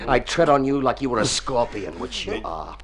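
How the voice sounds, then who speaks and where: An actor playing a young Texan outlaw in a radio drama, with a terse, menacing, resolute manner. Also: male voice, medium pitch, big pitch swings, normal speed, a crowd in the background. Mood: threatening. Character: gruff young Texan outlaw posing as a long-lost son.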